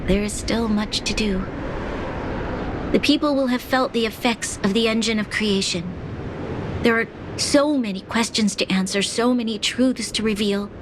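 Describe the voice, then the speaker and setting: An actress playing a noble, gentle young woman leader in a video game, solemn, earnest and formal. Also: on the high side, moderate pace, noisy background, natural voice. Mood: weary, earnest. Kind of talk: dramatic video game voice acting.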